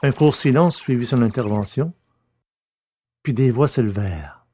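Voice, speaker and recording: A man's voice: mid-range voice, lively intonation, normal speed, dark tone, husky. A man narrating a science-fiction adventure novel as a scripted audiobook reading.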